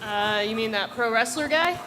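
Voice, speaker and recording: A woman plays a laid-back young gym guy, high and bright, tossing out offhand lines. A long hall reverb hangs on the voice. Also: animated, moderate pace, slight background noise. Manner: casual, offhand.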